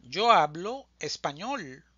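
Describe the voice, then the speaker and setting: A language teacher reading a scripted drill slowly, enunciating deliberately phrase by phrase, as a close voice-over. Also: medium pitch, sing-song, sharp tone, slightly rough, no room sound, muffled recording. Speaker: Spanish teacher.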